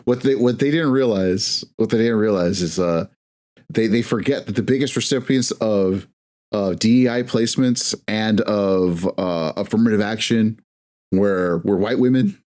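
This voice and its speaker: A man's voice: fairly low pitch, moderate pace, a little mellow. A man co-hosting a casual podcast, chiming in to agree and cheer his partner on. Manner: supportive backchannels and brief cheering.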